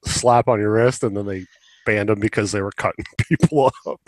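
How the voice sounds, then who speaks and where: A man's voice: fairly low pitch, fairly even intonation, normal speed, no room sound. A man hosting a podcast, chatting casually with plenty of swearing and sarcastic exaggeration. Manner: sarcastic, hyperbolic. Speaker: podcast host. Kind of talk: casual, profane podcast chat.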